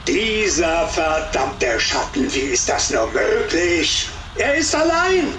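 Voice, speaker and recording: An angry German Nazi officer in a war game. He is proud and stubborn, with a high, widely swinging voice, heard from a distance. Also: big pitch swings, slow, over music. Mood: exasperated. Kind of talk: video game cutscene acting.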